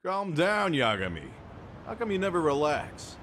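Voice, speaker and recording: An actor playing a laid-back, chummy gangster in a game dub, familiar and teasing with a drawl. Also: male voice, on the high side, very animated, normal speed, fairly bright tone, a little hiss or hum. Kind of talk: English video game dub acting.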